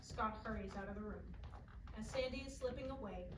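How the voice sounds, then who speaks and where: A woman's voice: medium pitch, fairly quick. A woman reading scripted stage directions as narration at a staged play reading. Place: roomy indoor performance space, far microphone. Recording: echoey, distant, noisy room recording.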